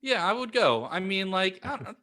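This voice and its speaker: A man co-hosting a comedy podcast, chatting casually in a halting, scattered, excitable way. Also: sits high. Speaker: podcast host.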